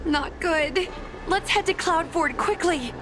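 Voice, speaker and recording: A woman's voice: very high voice, takes it slowly, over music. A woman playing an earnest, hot-headed young heroine in a video game, bold and dramatic. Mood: alarmed. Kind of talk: animated video game voice acting.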